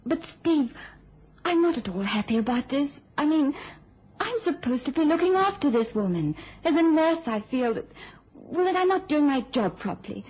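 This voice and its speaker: An actress playing an earnest, uneasy young nurse in an old-time radio drama, with a hesitant, conscientious way of talking. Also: female voice, high-pitched, very animated, moderate pace, darkish tone, muffled recording. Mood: reluctant.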